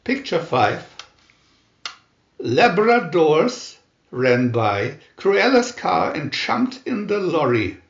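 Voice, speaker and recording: Slow, lively man reading a storybook. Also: a little high. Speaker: storybook narrator. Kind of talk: scripted children's story reading. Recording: roomy, echoey, dull sound.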